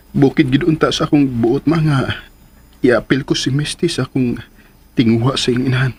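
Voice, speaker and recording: A man's voice: mid-range voice, fairly even intonation, a little slow, pauses often, a little hiss or hum, natural voice. An actor with a Filipino accent playing a smitten man scheming to win back an old love in a radio drama. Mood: scheming, wistful. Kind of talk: Philippine radio drama acting.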